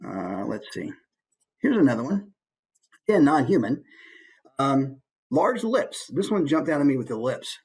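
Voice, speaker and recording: A man's voice: mid-range voice, sing-song, a little slow, long pauses, a little mellow. A man who works as a voice actor, giving a casual, conversational instructional presentation with easygoing asides.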